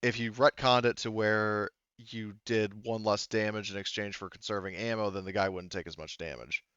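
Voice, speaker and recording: Clear, low-voiced man running a game. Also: fairly even intonation, moderate pace, a bit bright, dry recording. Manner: explains rules in detail, thinking aloud. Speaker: game master. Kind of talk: casual tabletop game refereeing. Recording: dull, slightly muffled close recording.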